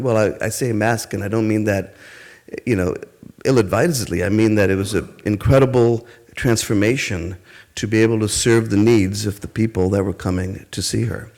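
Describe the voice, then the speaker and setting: Middle-aged guy, lowish voice, telling stories about growing up, thoughtful. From India. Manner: reflective, storytelling, with fillers and soft laughs. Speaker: guest speaker. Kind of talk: personal reminiscence talk.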